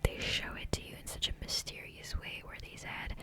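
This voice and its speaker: A woman whispering softly and breathily into a close microphone in a rambling, hesitant ASMR gameplay commentary, often trailing off. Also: fairly quick. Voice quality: soft, breathy unvoiced whisper. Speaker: ASMR gaming creator. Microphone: close USB condenser microphone.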